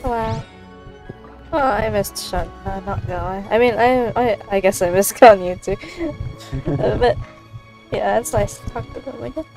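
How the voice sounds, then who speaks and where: A woman's voice: mid-range voice, a little slow, creaky, music in the background, low-quality recording. A woman game streamer reacting casually to gameplay with an amused, giggly manner.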